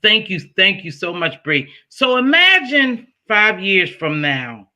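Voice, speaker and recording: A man's voice: sits high, big pitch swings, takes it slowly, sharp tone. A man hosting a casual interview, chatty, telling stories and asking questions. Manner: chatty, storytelling, asks questions. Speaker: interview host.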